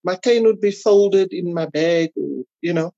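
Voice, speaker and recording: A South African woman chatting casually as an interview guest, telling personal stories in an animated way. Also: on the low side, measured pace, no echo, highs cut off. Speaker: podcast guest.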